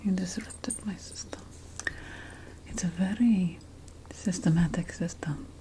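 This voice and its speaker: A woman playing an ancient, wry and fussy witch mentor in a slow, breathy whispered ASMR fantasy roleplay. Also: on the low side, mellow, natural voice. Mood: fussy, instructive. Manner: slow, deliberate, wry, theatrical. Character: ancient Mesopotamian witch mentor, teasing, fussy about plant remedies.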